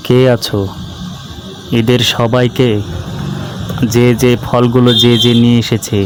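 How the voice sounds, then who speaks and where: A man's voice: medium pitch, darkish tone, a little hiss or hum, natural voice, rough recording. A man voicing an imperious, pompous village king in a cartoon, giving commands. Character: imperious village king, testing his subjects. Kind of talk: acted cartoon dialogue.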